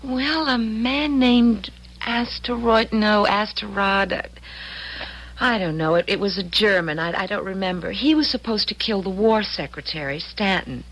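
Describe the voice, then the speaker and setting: A woman's voice: medium pitch, unhurried, fairly bright tone, rough recording. An actress playing an earnest, anxious but loyal young woman traveling through time, in old-time radio drama style. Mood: recalling, unsure.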